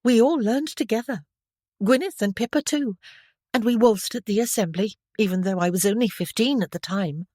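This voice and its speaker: A woman's voice: medium pitch, moderate pace, a little mellow, no echo, studio quality, pitched above the performer's own voice, natural voice. A woman reading an audiobook, voicing an eager, chatty teenage noblewoman in a girlish, breathless way. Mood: flustered. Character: spirited young noblewoman, seventeen, impulsive and chatty. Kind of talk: audiobook character voice.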